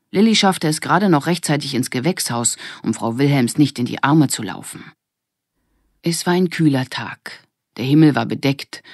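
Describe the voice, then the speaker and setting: A woman's voice: a bass voice, husky, dry recording, studio quality. A woman narrating a children's audiobook in a scripted storytelling style.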